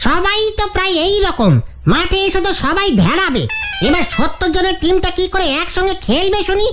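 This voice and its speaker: Casting: nagging young cartoon sidekick, extremely high squeaky voice, loud music behind. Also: female voice, animated, a little fast. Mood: sceptical. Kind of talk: exaggerated cartoon comedy dialogue.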